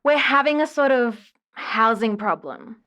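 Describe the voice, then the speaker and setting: A woman's voice: a little high, lively intonation, measured pace, pushed and bright, dull audio. An actress playing an aggrieved, chatty woman caller in an audio drama phone-in, dramatic and exasperated. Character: exasperated, dramatic woman caller griping about her ghost ex.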